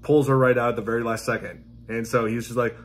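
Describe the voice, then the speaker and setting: A man in his thirties hosting a casual reaction video in an amused, halting way, often laughing as he talks. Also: medium pitch, level tone. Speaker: YouTube host reacting to video game clips. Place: small indoor room at home.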